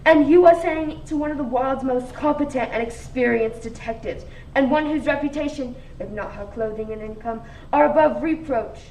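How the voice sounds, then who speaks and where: High, pompous lady police inspector, acted by a woman. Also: moderate pace, few pauses, darkish tone. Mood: pompous, indignant. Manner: pompous, declamatory. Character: self-important, crooked female police inspector. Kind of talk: comic radio mystery play acting. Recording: echoey room, distant mic, faint noise.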